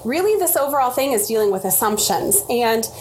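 A woman's voice: fairly high pitch, very animated, brisk, low-quality recording. A woman hosting a casual interview, introducing the discussion and prompting her guest in a chatty way. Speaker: host. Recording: faint background noise and ambience.

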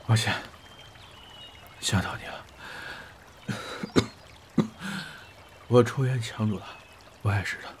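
An actor playing a composed, gentle and self-assured man of about thirty in a Chinese audio drama, with a reassuring manner. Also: fairly low pitch, big pitch swings, slow, pauses often, a little hiss or hum. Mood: apologetic. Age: around 30.

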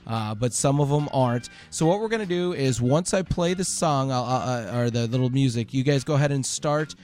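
A man hosting a podcast quiz, explaining casually and haltingly, correcting himself as he goes. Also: mid-range voice, darkish tone, faint music behind.

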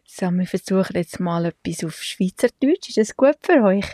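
A woman's voice: medium pitch, expressive, moderate pace, dark tone, no room sound, studio quality. A Swiss woman in her forties with a Swiss German accent, chatting casually to the camera as a mother and vlogger, laughing often and slipping in English words. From Switzerland.

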